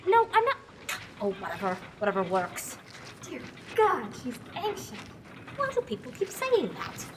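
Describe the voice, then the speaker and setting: A woman's voice: very high-pitched, wildly swooping pitch, a little slow, noisy background, full character voice. A young woman in a student radio play voicing an old widow who is stubborn and keeps pleading her case. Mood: determined. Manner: determined, pleading. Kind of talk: amateur radio play acting.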